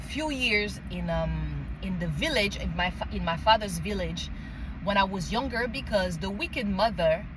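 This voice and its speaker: A woman with a French accent shares personal stories in an unscripted, emphatic way, stopping to check that the listener follows. Her voice sits at a middle pitch, and some ambient background noise runs behind it. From France and Mali. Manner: emphatic, often checks in with "okay?" and "right?". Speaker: spiritual vlogger sharing personal story. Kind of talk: casual unscripted personal storytelling.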